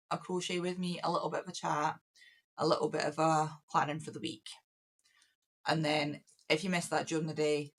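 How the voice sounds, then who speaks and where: Croaky lady rambling thru her craft biz plans, keeps correcting herself. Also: low-pitched. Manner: rambling, self-correcting, chatty. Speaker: craft business owner and YouTuber. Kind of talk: casual vlog planning.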